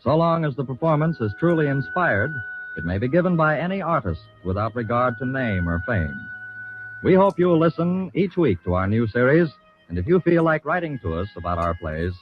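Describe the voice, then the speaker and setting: A man's voice: mid-range voice, big pitch swings, normal speed. A man announcing a radio drama program in the formal style of 1940s American broadcasting. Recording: dull old radio broadcast, music behind. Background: music.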